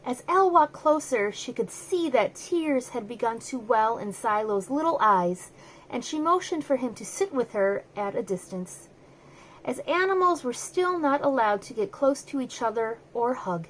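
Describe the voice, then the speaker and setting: Female children's storyteller, high register, read-aloud narration, slight background noise. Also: animated, moderate pace, poor audio. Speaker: storyteller, host.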